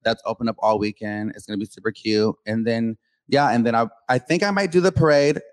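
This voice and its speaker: A man's voice: mid-range voice, fairly quick, no echo. A man as a talk show guest, chatty and sassy. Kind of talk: casual chat.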